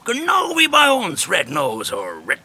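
A man playing a jovial English-speaking Santa Claus in a children's radio play, with a gravelly voice that mixes English into German. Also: very high voice, wildly swooping pitch, measured pace, pushed and bright, full character voice. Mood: puzzled, blustering.

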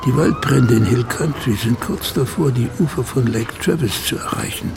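An actor playing a wry, knowing narrator with a gravelly voice and sly asides in a radio play. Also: male voice, medium pitch, huge pitch swings, moderate pace, background noise.

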